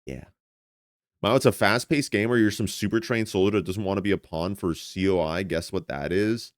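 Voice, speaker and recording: Young guy streamer, native spanish speaker, really deep voice, dry close sound, rambles and stumbles over words chatting. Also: fairly even intonation, normal speed, no room sound. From United States. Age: 20s. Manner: rambling, often stammers and repeats words. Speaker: livestreamer. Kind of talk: casual chat with stream viewers.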